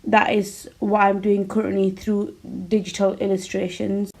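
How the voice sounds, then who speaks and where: Flat-toned British woman, formal speech. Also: mid-range voice, gravelly, rough recording. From United Kingdom. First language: Urdu. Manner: measured, formal wording. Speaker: guest artist. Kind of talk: prepared statement in an interview.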